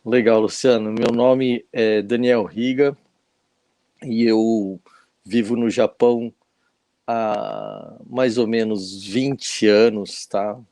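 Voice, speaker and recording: A man in his forties from São Paulo with a São Paulo Brazilian accent, talking as a guest on a casual video-call live chat, friendly and unhurried. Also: lowish voice, fairly even intonation, creaky, dark tone, no echo. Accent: Brazilian Portuguese, São Paulo. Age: 40s. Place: at home in Japan, morning.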